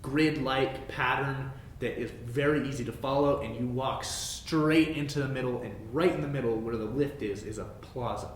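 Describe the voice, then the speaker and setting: A man's voice: moderate pace, few pauses. A man running a tabletop game as game master, narrating in a casual, informal and self-deprecating way. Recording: roomy echo, low rumbling background noise.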